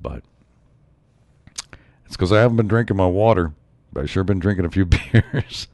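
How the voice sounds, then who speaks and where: A man hosting his own show in a rambling solo monologue, his voice a bit raspy from a slight cold. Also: deep voice, moderate pace, darkish tone, dry recording. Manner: rambling, musing, frequent asides. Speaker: show host.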